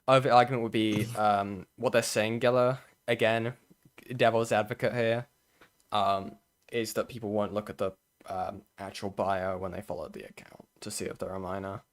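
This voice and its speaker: A man commenting on a stream in a loose, sweary way, his voice worn hoarse after hours of talking. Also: on the low side, little pitch movement, normal speed. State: voice going hoarse.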